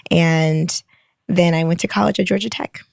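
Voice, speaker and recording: A woman's voice: fairly low pitch, fairly even intonation, moderate pace, creaky, dry recording, studio quality. A woman in her late twenties, an engineer and podcast guest, explaining her work patiently in casual conversation and laughing often. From Michigan, United States. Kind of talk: conversational interview.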